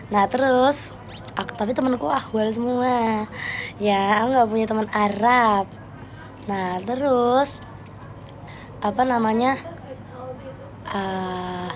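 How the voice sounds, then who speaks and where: A young woman with a Jakarta Betawi slang accent chatting casually with viewers on a live stream, playful and quick to laugh. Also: a little high, slight background noise. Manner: chatty, playful, laughs often, switches registers.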